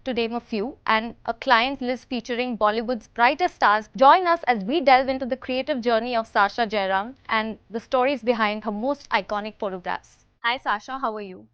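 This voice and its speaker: A young woman hosts a podcast, asking questions in a friendly, curious way. Her voice is a little high and recorded close and dry, with no room sound. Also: moderate pace, few pauses, fairly bright tone, smooth, dry recording, lo-fi sound. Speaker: podcast host. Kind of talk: podcast interview and scripted intro.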